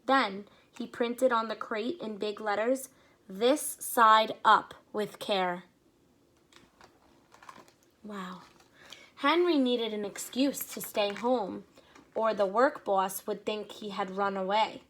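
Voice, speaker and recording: A woman teacher reading a picture book aloud to children, with expressive pacing and short explanatory asides. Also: mid-range voice, slow, a little hiss or hum.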